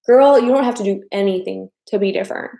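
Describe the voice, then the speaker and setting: A woman in her thirties from the American Midwest talks casually to a live-stream audience as a dating coach. Her talk is chatty and loose, full of fillers and repeated phrases, at a middle pitch. She sounds like she is in a room with a noticeable echo. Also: quick pace, gravelly, warm tone. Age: 30s.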